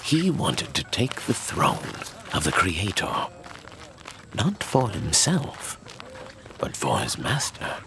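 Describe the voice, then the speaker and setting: Guy as knowing fantasy storyteller, super low and creaky, deliberate and dramatic, noise behind him. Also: huge pitch swings, full character voice. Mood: grave storytelling. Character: measured, knowing male storyteller of dark fantasy lore.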